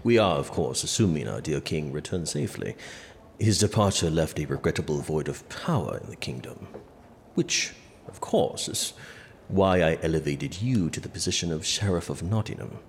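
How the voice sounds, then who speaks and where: A haughty, pompous medieval prince, speaks very low with dry sarcasm. His delivery moves expressively through a reverberant hall, faint music beneath, in audio drama style. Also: expressive, a little hiss or hum. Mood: scheming. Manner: dry, sardonic, measured and pompous. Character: haughty, sardonic, jealous medieval English prince.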